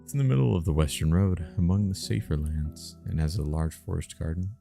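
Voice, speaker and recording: A man voicing a shrewd, guarded, motherly woman innkeeper in a folksy, measured way for a story game. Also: low voice, vocal fry, warm tone, faint music behind.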